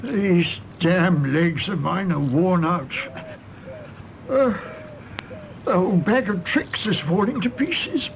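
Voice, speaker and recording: A man playing a frail, weary old man in a radio drama, rambling and halting as he reminisces. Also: sits high, animated, creaky, slight background noise, full character voice, poor audio. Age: older. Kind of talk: stage play performed as broadcast drama.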